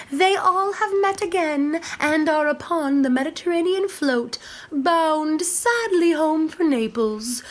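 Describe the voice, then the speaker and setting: A woman playing an eager, spirited sprite of the air in a Shakespearean audio drama, speaking verse in a theatrical, deliberate way. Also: sits high. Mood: proud, reporting. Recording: remote recording with faint background noise.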